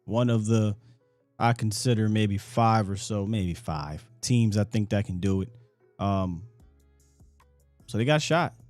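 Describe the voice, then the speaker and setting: A man hosting a casual sports call-in show, slangy and laid-back, his voice going hoarse. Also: lowish voice, a little slow, long pauses, a little mellow, no echo, faint music behind. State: voice getting hoarse. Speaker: sports talk host.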